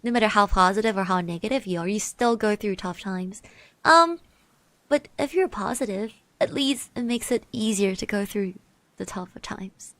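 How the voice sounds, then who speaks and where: A woman speaking softly in an ASMR roleplay, gently reassuring the listener, musing with pauses and little hums, close to the microphone. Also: mid-range voice, no room sound. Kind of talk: soft-spoken ASMR roleplay, personal encouragement. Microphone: close microphone, dry.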